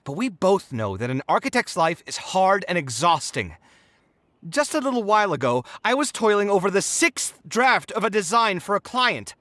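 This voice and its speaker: A man's voice: high-pitched, bright, forceful tone, heavily acted. An actor playing an idealistic young male architect in a video game, earnest, exasperated and reproachful. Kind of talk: dramatic video game voice acting.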